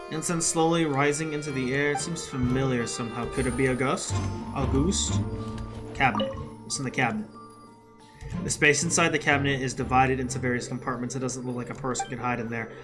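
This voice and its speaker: An animated gaming streamer with a middling pitch that swings widely. The talk is casual, slangy and sweary, with clear game music underneath. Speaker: gaming streamer playing and reacting. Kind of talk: casual gameplay commentary, reads dialogue aloud.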